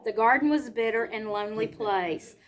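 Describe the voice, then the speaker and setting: A woman reads a storybook aloud to children, pausing to explain simply and ask them questions. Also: on the high side, low-quality recording. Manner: simple explanatory asides and questions to young listeners.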